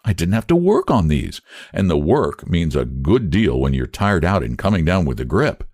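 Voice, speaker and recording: A man voicing a proud, grieving older woman who speaks in a cool, prim, measured way in a storybook reading. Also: deep voice, sing-song, a little mellow, no echo, studio quality, heavily acted. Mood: cool defiant.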